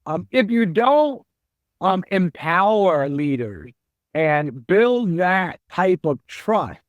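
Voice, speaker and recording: A middle-aged man, a chief executive, answering interview questions in a slow, measured and reflective way. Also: fairly high pitch, no room sound. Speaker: CEO guest.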